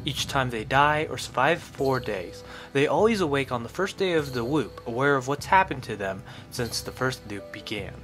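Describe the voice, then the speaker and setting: A man's voice: medium pitch, animated, normal speed, fairly bright tone. Man narrating a scripted review over music. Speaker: video game reviewer. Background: music under the voice.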